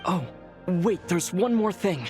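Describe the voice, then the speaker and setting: An actor playing a thoughtful, earnest young male traveler in a video game, speaking in a measured, reflective way. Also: high-pitched, animated, a little mellow. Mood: friendly. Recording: music behind the voice.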